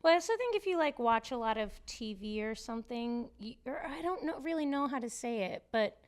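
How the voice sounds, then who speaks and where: Woman comedian guest, a bit high-pitched, loose casual delivery. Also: lively intonation, fairly quick. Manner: casual, lots of filler likes. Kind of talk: casual chat.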